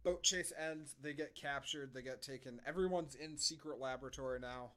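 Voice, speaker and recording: A man's voice: medium pitch, moderate pace, a bit bright. A man co-hosting a podcast, giving a casual, comedic movie recap in a sardonic manner. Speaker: podcast co-host.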